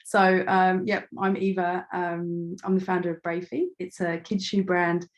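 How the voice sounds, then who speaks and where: Casting: woman, middle-aged, lowish croaky voice, chatty self-deprecating guest speaker, hesitant phrasing. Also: little pitch movement, measured pace, dull audio. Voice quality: slightly croaky. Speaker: start-up founder and guest speaker. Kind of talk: informal online talk about own background.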